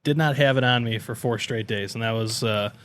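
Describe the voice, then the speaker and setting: A man's voice: mid-range voice, fairly quick, a bit bright. An American man co-hosting a podcast in casual chat, laid-back and slangy with some swearing. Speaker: podcast co-host.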